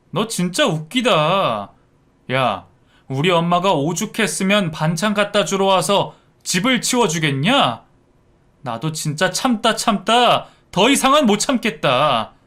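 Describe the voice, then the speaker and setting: A man's voice: fairly high pitch, a bit bright. A man voicing a hot-tempered adult son in a narrated story, blunt, scolding and contemptuous as he defends his mother. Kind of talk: acted dialogue in a narrated story.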